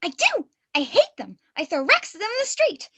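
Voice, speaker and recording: Casting: young woman playing defiant, proudly wicked girl, very high bright voice, radio play melodrama. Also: wildly swooping pitch, moderate pace, exaggerated voice. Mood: indignant, defiant. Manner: theatrical, indignant. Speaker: student actor in radio play.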